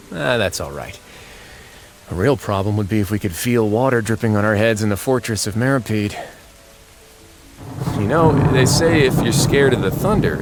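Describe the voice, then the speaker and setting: An actor playing a calm, wry fortress warden in a video game, with a relaxed, measured, dry-humoured delivery. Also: male voice, lowish voice. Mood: relaxed, casual. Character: calm, wry, authoritative male fortress warden, dignified but laid-back. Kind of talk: video game character voice lines, conversational monologue.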